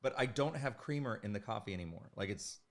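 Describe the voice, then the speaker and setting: A man hosting an online art event with a slightly hoarse voice from the flu, chatting casually and rambling, with lots of fillers and jokes at his own expense. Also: lowish voice, expressive, fast, a crowd in the background. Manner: rambling, filler-heavy and self-joking. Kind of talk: casual livestream chat. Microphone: streaming mic.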